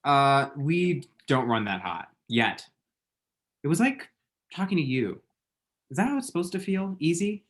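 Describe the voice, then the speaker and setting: A man's voice: fairly high pitch, big pitch swings, unhurried, a bit bright. Man playing an awkward, wry romantic lead. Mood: musing. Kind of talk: screenplay table read.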